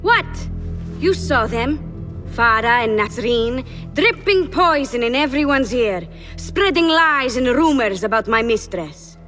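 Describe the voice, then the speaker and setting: Scheming young female game villain, fairly high pitch, controlled, sly, coldly loyal, score underneath. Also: lively intonation, a little slow, fairly bright tone, soft background music. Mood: sly, cool. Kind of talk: video game cutscene acting.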